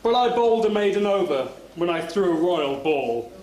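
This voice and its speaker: A man's voice: high voice, animated, moderate pace. A British man playing a jaunty young prince in rhyming stage pantomime. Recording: echoey hall, audience, noisy tape.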